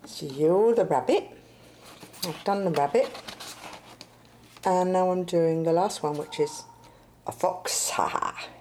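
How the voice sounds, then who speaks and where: A woman's voice: lowish voice, slow, pauses often, darkish tone. A woman in a spontaneous-sounding solo monologue, thinking aloud and murmuring to herself in short fragments. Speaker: performance artist in an audio playlet. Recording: clearly noisy recording with clicks.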